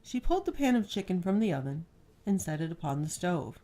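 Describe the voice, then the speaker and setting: A woman's voice: fairly low pitch, big pitch swings, fairly quick, mellow. A woman storyteller reading scripted fiction narration aloud.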